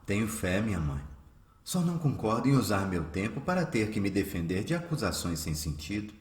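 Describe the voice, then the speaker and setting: An actor plays an earnest, devout man in a radio drama. His low voice moves expressively through solemn, formal, measured phrases. The sound is roomy and echoing. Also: very animated. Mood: calm, devout. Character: earnest, devout adult son, a charitable spiritist healer.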